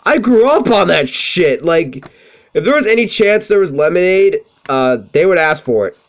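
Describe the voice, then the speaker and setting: A young man with a high-pitched voice, swearing freely as he tells rambling stories over gameplay. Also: sing-song, normal speed. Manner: profane, rambling, self-deprecating. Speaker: let's play commentator. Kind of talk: casual gameplay commentary with storytelling.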